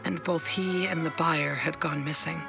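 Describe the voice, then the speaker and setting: A woman playing a worried, gentle but firm middle-aged wife and mother in a video game, speaking in an earnest, measured way. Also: low voice, fairly even intonation, gravelly, music in the background. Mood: regretful, worried.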